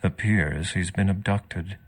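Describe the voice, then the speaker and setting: An actor playing a wry, worldly old vampire scholar in a video game, speaking in an erudite, measured and sardonic way. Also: male voice, sits low, husky, exaggerated voice. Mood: matter-of-fact.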